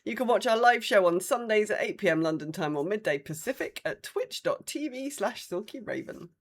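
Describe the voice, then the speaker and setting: A woman's voice: medium pitch, lively intonation, a little fast. A woman co-hosting a casual gaming podcast, chatting and reading out comments in an informal way. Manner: informal, conversational. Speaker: podcast co-host.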